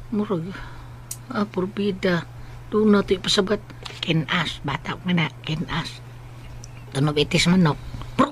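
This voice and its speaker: A man acting out an excitable, obsessive Filipino husband with a Cebuano accent in a comedy radio play. The voice is bright and forceful, leaping widely in pitch as he mutters to himself and exclaims. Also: a little hiss or hum, exaggerated voice. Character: excitable lottery-obsessed husband working as a taxi driver.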